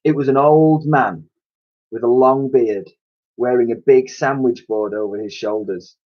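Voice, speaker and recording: A man's voice: medium pitch, measured pace, lo-fi sound. A man reading a children's storybook aloud expressively, like a teacher addressing his pupils.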